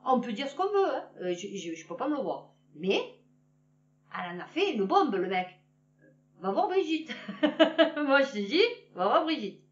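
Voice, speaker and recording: A woman with a Marseille accent rants in a blunt, slangy way and chuckles at her own jokes. Her fairly high voice swings up and down a lot. She sits back from the mic in an echoey room, and the sound is a little dull. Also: sing-song, moderate pace, recorded from a distance. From Marseille, France. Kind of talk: casual political rant to camera.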